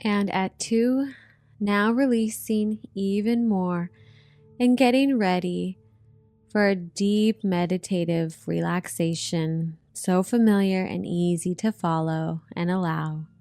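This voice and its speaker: Slow-paced woman guiding a meditation over music. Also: mid-range voice, no echo. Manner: soothing, gentle, drawn-out hypnotic phrasing. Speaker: meditation guide. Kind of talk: guided meditation narration. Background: relaxation music.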